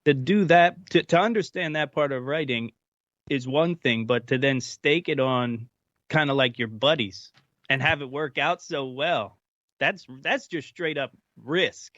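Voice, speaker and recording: A man's voice: medium pitch, unhurried, fairly bright tone, no room sound, muffled recording. A man chatting casually as a voice actor being interviewed.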